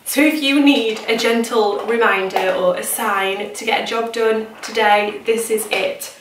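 A woman's voice: on the high side, fairly even intonation, a little slow. A woman in her thirties with a British accent, chatting casually and informally to camera as a home vlogger. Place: indoors in a home kitchen and small utility room. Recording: echoey room, distant mic, noisy background.

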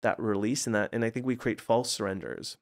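A man in his forties with a lowish voice, raised in Los Angeles, whose first language was Croatian. He talks as an interview guest, reflective and meandering, thinking aloud and circling back with plenty of fillers. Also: steady tone, fairly quick. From United States (Los Angeles area). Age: 40s. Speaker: guest, art therapist. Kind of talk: casual conversational interview.

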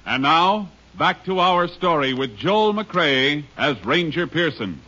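A man's voice: on the high side, very animated, takes it slowly. A man announcing a scripted 1950s radio show in a formal, declamatory style. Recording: dull old radio recording. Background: loud music.

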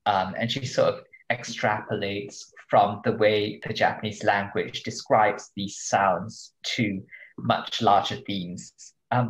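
A man's voice: lowish voice, low-quality recording. A male translator guest talking about books in a thoughtful, hesitant way with many ums. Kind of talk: casual podcast interview.

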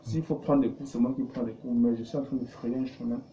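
A man with a very dark, mellow voice explains things in a conversational way, like a coach. He often turns to rhetorical questions. The recording is dull, with faint noise in a small room. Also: medium pitch, fairly even intonation, fast talker. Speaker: business coach and consultant. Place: small indoor studio office.

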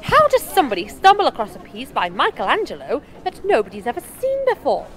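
An actress playing a plucky, cheeky journalist with an English accent in an audio drama, wry and teasing. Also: female voice, sits high, huge pitch swings, quick pace, fairly bright tone, exaggerated voice, rough recording. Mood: awed. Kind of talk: full-cast audio drama acting.

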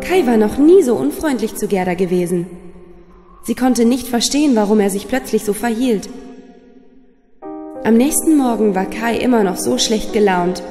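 A woman's voice: fairly high pitch, expressive, moderate pace, warm tone. A woman storyteller reading a scripted fairy tale for children. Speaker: storyteller, narrator. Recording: long reverb, music underneath. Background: music.

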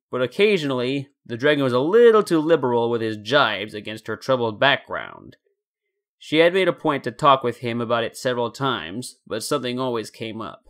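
A man's voice: medium pitch, fairly bright tone. A man narrating fan fiction as a scripted story.

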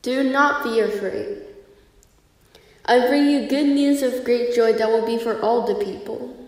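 A woman's voice: a little high, steady tone, measured pace, a little mellow, close to an everyday voice. Echoing heavenly angel voiced by a woman. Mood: solemn, reassuring. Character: angel Gabriel, a solemn heavenly messenger bringing tidings. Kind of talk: children's puppet play acting. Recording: echoey hall reverb, distant, faint music.

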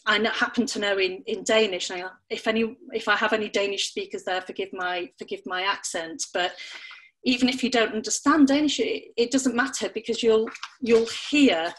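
A middle-aged woman talks parents through storytelling ideas over a laptop webcam from a small room at home. Her voice sits in an ordinary middle register for a woman but is expressive and playful, jumping up high to stress a word. It sounds like a friendly online workshop. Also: normal speed, poor audio. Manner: expressive, playful, leaps up in pitch for emphasis. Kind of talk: online parenting webinar talk. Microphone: laptop webcam, video call.

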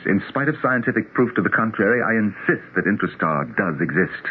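An actor playing an earnest, obsessed doctor, genial but insistent, in a 1970s radio drama. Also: male voice, fairly low pitch, lively intonation, brisk, phone-quality sound, natural voice. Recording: thin, hissy 1970s radio recording.

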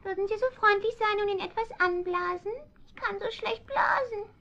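A woman voicing a polite, cheeky and self-assured male talking cat in a radio play, speaking in a genteel, coaxing way with soft whispered asides. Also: very high-pitched, normal speed, full character voice. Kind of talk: vintage radio play acting. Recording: dull older lo-fi recording.

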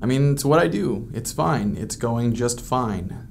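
A man's voice: mid-range voice, animated, measured pace, creaky, slight background noise. An actor playing a sullen, defensive male astronaut, curt and sarcastic, in an audio drama.

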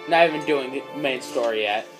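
A man's voice: mid-range voice, a little fast, music in the background, rough recording. A teenage boy casually commenting on his gameplay, yelping when he gets hurt, filmed by a phone in a dim room. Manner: excitable, yelps when in danger. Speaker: let's play host. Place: dim room in front of a screen.

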